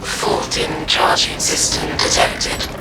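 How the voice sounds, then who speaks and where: An actor playing a mechanical announcer who reads out faults and orders in clipped, bureaucratic phrases with a deep gravelly monotone. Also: male voice, slow pace, heavily acted. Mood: flat. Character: rumbling low machine announcer, mechanical and flat. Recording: echoey and distant, under loud game music.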